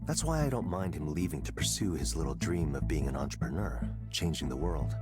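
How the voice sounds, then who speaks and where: A smug, calculating executive in a visual novel game, with a very low voice that swings expressively. He talks in a measured, condescending way, over prominent music. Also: lively intonation. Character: smug, calculating male tech company boss. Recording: loud music bed under voice.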